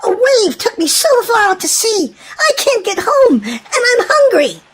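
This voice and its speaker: Woman voicing an excitable, very high-pitched little boy. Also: unhurried, exaggerated voice, poor audio. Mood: upset, whiny. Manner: exclaiming, dramatic. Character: Willy-Nilly, hungry excitable little boy adventurer. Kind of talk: children's storybook read-aloud.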